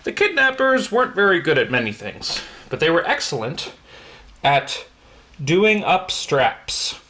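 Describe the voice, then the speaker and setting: A man's voice: a little high, sing-song, a little slow, bright, forceful tone. A man reading a children's picture book aloud, stumbling now and then and adding casual comments on the pictures. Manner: stumbles over words and explains the pictures as he goes. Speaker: bedtime story reader.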